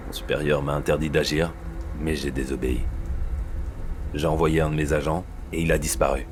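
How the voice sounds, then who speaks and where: A man acting a grave, worried, secretive police superior in an audio thriller, speaking in a hushed, halting, confiding way. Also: level tone. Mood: worried, insistent. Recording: clear background noise, vehicle-like rumble.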